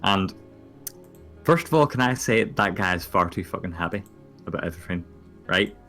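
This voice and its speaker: Finnish dude, lowish voice that swings all over, bright edge, cussing a lot and laughing. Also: male voice, lively intonation, moderate pace, faint music behind. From Finland. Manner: rambling, profane, laughs often. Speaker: podcast co-host. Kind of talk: casual chat.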